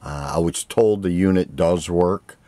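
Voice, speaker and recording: Male seller for an off-the-cuff product demo: deep register, unhurried, plain-spoken, stops between short phrases. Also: mellow, no echo. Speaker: seller demonstrating vintage audio gear for an online listing. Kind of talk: casual unscripted product demonstration. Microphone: handheld camera, speaker behind it.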